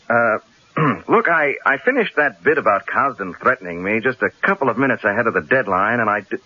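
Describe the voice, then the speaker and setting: An actor playing a flippant, wisecracking newspaper columnist with a husky voice and casual manner in a radio drama. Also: male voice, mid-range voice. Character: breezy, wisecracking, evasive male newspaper columnist. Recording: dull old radio broadcast recording.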